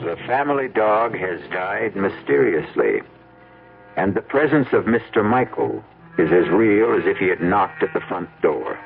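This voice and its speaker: A man narrating a radio mystery as host, with deliberate, ominous dramatic delivery. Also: lowish voice, animated, creaky, narrow, tinny audio. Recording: band-limited old radio broadcast with music.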